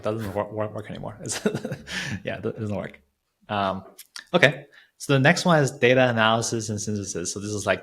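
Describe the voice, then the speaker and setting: A man's voice: fairly low pitch, fairly bright tone. A man hosting an interview in a casual, informal way, thinking aloud and repeating words as he goes. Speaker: podcast host, interviewer.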